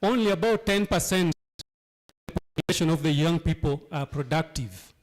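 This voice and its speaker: A hoarse man from Uganda, sick with a cold, speaking emphatically at a town hall meeting and asking rhetorical questions. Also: on the high side, normal speed. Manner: emphatic, rhetorical questions, repeats key phrases. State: hoarse from flu and cough. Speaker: local leader addressing a town hall.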